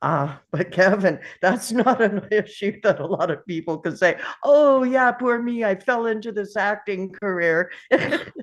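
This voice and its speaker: A woman in a casual group discussion of a TV series, speaking thoughtfully and pausing to find her words. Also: medium pitch, muffled recording.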